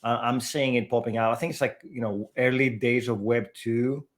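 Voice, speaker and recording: A man chats animatedly as a guest on a developer livestream. He is middle-aged, and his talk comes in lively bursts full of restarts. His pitch sits in an ordinary middle range for a man. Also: moderate pace. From Greece. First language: Greek. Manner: animated, chatty, with frequent restarts. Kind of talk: casual chat on a livestream.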